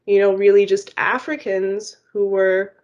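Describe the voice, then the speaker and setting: A woman's voice: mid-range voice, level tone, a little slow, a little mellow, lo-fi sound. A young woman from the United States, a podcast guest, talking thoughtfully with many "like" and "you know" hedges. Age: young adult. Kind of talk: casual podcast discussion.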